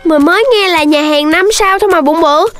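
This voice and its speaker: Cartoon rabbit kid: small high voice, sensible, scolding yet caring, background music. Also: a little fast, fairly bright tone. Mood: curious, caring. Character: sensible, bossy young rabbit child, cautious and caring. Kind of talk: children's cartoon dubbing in Vietnamese.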